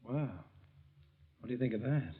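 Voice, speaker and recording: An actor playing a desperate, weak-willed grown son in a 1940s radio drama, halting and anxious. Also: male voice, mid-range voice, husky. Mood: uneasy, subdued. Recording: muffled 1940s radio recording.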